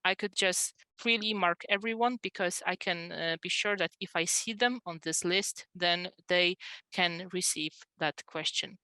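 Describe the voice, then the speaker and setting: Female webinar presenter, middle-aged, bright forceful tone, dry close headset sound, explanatory and halting. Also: on the low side, no echo, poor audio. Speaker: presenter demonstrating software. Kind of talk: webinar software demonstration, explanatory. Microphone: headset on a video call.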